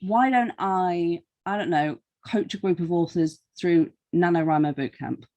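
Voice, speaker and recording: A woman's voice: lowish voice, unhurried, darkish tone. A woman interviewing a podcast guest in a casual, conversational style with an informal, teasing manner.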